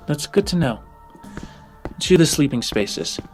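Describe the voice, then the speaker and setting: A man's voice: on the high side, expressive, unhurried. A man improvising narration as game master of a tabletop role-playing game. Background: music.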